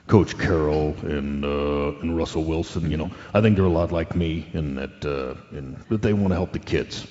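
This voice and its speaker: Deep-voiced, slow-witted big ex-jock. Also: male voice, mellow. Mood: earnest, rambling. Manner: slow-witted, rambling, hesitant, earnest. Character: big, dim, hungry middle-aged male ex-football player. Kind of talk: comedic radio drama guest interview. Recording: dull audio in a reverberant hall.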